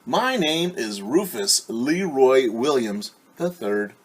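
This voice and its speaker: Man voicing an eager little pig, slow and husky. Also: medium pitch, big pitch swings, heavily acted. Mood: determined. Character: eager, earnest young male pig wanting school.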